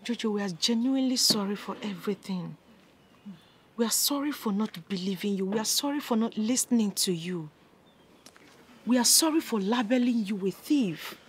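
Remorseful friend, played by an actress, mid-pitched, earnest pleading, faint background noise. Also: female voice, lively intonation, moderate pace, close to an everyday voice. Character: remorseful friend begging forgiveness.

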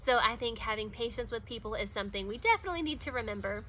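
A woman in her thirties chats straight to the camera in a loose, rambling way, full of "like" and small laughs. Her voice sits high and sounds bright and crisp. A faint outdoor hiss lies behind her. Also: expressive, racing, poor audio. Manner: chatty, many "like"s and small laughs. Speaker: vlogger. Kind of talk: casual talk to camera. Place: outdoors in front of a wooden fence.

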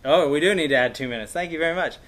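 A man's voice: on the high side, racing, a bit bright. An American man in his thirties, speaking casually as a host introducing things and chatting with a guest. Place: indoors on a couch in a workshop room.

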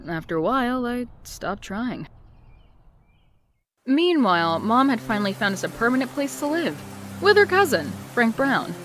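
Woman doing a wry, wistful young narrator with a lively, animated pitch. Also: moderate pace, slight background noise. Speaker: narrator of her own past. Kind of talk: comic dub narration.

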